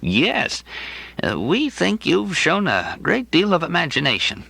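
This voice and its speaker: A man voicing an amiable, condescending old hospital director, ailing and distracted, who hems and haws and clears his throat in an audiobook. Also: a little high, huge pitch swings, sharp tone, dry recording, voice pushed up, exaggerated voice. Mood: genial, evasive. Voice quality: fruity cough, throat-clearing. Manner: halting, hemming, falsely genial.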